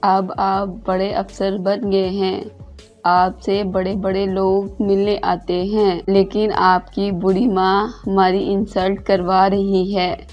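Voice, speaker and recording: A woman's voice: mid-range voice, flat delivery, slow pace, soft background music, natural voice. A woman playing a scheming, resentful young wife in a moral story, complaining and insinuating, on a dull recording. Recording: dull lo-fi voice track.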